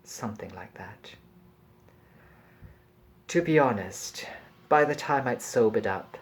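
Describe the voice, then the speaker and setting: A man reading a passage from a novel aloud in a measured, deliberate and expressive storytelling manner. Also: medium pitch.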